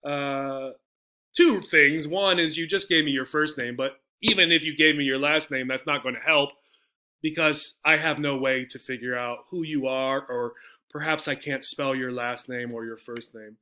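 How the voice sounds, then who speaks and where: A man's voice: mid-range voice, fairly even intonation, normal speed, bright, forceful tone. A man speaking as an English teacher, explaining in a conversational way with frequent check-ins and acted-out example phrases. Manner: conversational, often checks in with "right?". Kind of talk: explanatory lesson with example phone messages.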